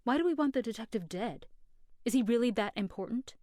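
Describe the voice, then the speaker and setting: A woman's voice: on the high side, lively intonation, fairly quick, mellow, dry recording, close to an everyday voice. A woman as a terse, earnest young henchwoman, close and dry. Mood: guarded, serious. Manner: terse, serious. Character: earnest, guarded young female crime henchwoman. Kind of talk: audio drama acting.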